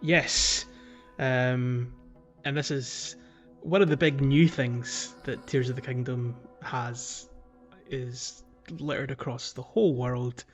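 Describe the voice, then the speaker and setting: A man's voice: medium pitch, fairly even intonation, takes it slowly, faint music behind. A man co-hosting a casual video game podcast, talking in a chatty, rambling way and often correcting himself. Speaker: podcast co-host.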